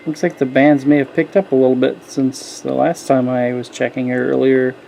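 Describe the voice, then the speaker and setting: A man's voice: mid-range voice, little pitch movement, moderate pace, vocal fry, warm tone, rough recording. A man casually demonstrating a shortwave receiver, talking in an offhand way as he tunes it. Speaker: radio hobbyist host demonstrating a shortwave receiver. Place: indoors beside a shortwave radio. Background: radio static and chatter.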